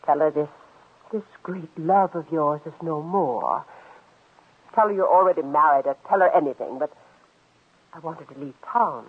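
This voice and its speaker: A woman's voice: sits low, animated, moderate pace, long pauses, slightly rough. An actress playing a cold, controlling woman scheming in a murder plot, speaking in a commanding, composed, clipped way in 1940s radio drama style. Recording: tinny, muffled old-time radio recording.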